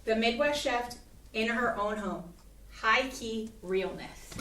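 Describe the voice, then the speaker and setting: A woman plays a trendy, enthusiastic publishing type in a stage play. She speaks slowly in a higher voice, heard from a distance in an echoey room. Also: sharp tone. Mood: upbeat, persuasive. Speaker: actor in a staged play reading. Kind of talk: scripted community theatre acting. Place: indoor playhouse staged reading.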